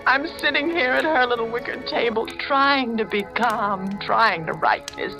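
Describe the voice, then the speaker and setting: An actress playing a terrified, trapped woman in an old-time radio horror drama, speaking in a breathy, faltering, melodramatic way. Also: fairly high pitch, expressive, slow pace, rough recording. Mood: desperate dread. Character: terrified, trapped woman guest fearing for her life. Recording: vintage radio drama, music underneath.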